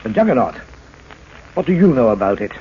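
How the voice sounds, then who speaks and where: A man acting a proud, principled older inventor in 1940s radio drama, husky and firm. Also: mid-range voice, big pitch swings, brisk, slight background noise, natural voice. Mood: guarded. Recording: dull old radio broadcast.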